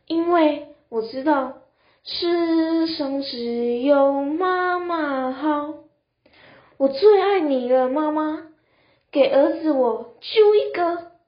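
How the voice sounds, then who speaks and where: A woman's voice: sits high, takes it slowly. A teenage girl playing a whiny, coddled young husband, pleading melodramatically in an amateur radio drama. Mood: doting. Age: teens. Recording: muffled, roomy lo-fi recording.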